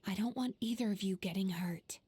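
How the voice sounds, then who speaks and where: A woman voicing a gentle, composed young healer in an anime game, with a soft, breathy voice. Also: medium pitch, a little fast, no room sound. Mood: serious, hushed.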